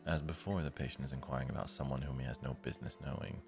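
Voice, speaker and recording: A man's voice: extremely low pitch, steady tone, rapid-fire, gravelly. A man live streaming, reading a visual novel's narration aloud and breaking off to comment casually. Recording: music behind the voice. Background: guitar music from the game.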